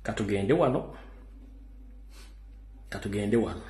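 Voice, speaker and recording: A man's voice: fairly low pitch, animated, unhurried, darkish tone, echoey room. A Ugandan man giving urgent political commentary, warning listeners and mixing English into his talk.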